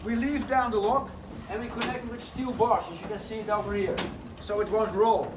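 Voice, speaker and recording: Guy giving a lively mill tour, recorded from a bit far off, noisy around him. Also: high voice, a little fast. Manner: lively storytelling, slightly broken English phrasing. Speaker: windmill guide. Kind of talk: guided tour talk. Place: large wooden sawmill interior. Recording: distant voice with noisy background.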